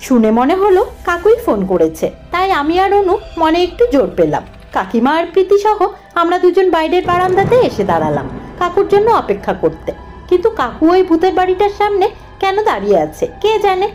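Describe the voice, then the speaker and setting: A woman narrating a scripted horror cartoon story in the first person, dramatic and expressive. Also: very high-pitched, moderate pace, faint music behind.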